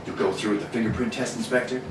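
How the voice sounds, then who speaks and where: A man's voice: on the low side, steady tone, quick pace, faint music behind, close to an everyday voice. A man playing an urgent, suspicious newspaper reporter and secret hero in an amateur 1940s-style radio play. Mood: insistent. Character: urgent, suspicious mild-mannered male reporter, secretly a superhero. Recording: distant echoey room, noisy.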